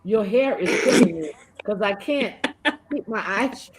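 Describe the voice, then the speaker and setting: A woman hosting a live comedic recap chat, excitable and gushing as she interviews a guest. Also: medium pitch, a little slow, background noise. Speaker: host.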